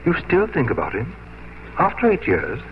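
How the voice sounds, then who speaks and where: A man's voice: a little high, huge pitch swings, faint music behind. An actor playing a regretful, formal aristocratic English father in an old-time radio drama. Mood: admonishing. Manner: formal, measured, melancholy. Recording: muffled vintage radio broadcast, faint noise.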